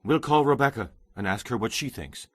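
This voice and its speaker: A man's voice: mid-range voice, expressive, a little fast, a bit bright, no echo, clean studio sound. A man playing a courteous, protective kinsman of a bride in a dramatized audio Bible, with formal delivery. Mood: hesitant, questioning.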